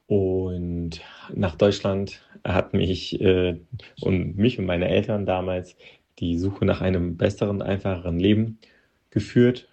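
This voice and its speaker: A man from Moldova whose first language is Russian, an interview guest talking hesitantly with many pauses and restarts. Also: deep voice, fairly even intonation, creaky, warm tone, muffled recording. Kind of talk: personal interview account.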